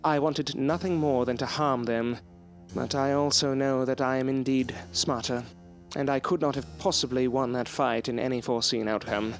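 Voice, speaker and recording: A man's voice: mid-range voice, steady tone, moderate pace, soft background music, natural voice. An actor playing a proud, loyal male scientist in an audio drama, speaking in a formal, articulate, theatrical way. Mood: bitter, brooding. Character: proud, defiant, loyal male scientist, courteous but bitter. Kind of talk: period audio drama acting.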